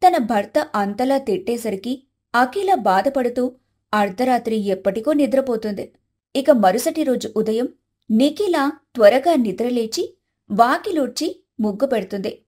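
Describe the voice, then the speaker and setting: A woman reading scripted narration for an animated moral story. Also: a little high, unhurried.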